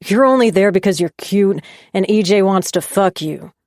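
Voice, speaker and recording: A woman's voice: medium pitch, moderate pace, dry recording, studio quality, close to an everyday voice. Woman reading as an angry scornful boyfriend. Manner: raised, sharp and scornful. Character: angry jealous young man, resentful boyfriend.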